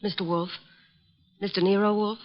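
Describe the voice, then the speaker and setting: An actress playing an anxious young secretary in a 1950s American radio drama, earnest, polite and hesitant. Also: female voice, mid-range voice, flat delivery, normal speed, lightly acted. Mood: nervous. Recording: muffled vintage radio recording.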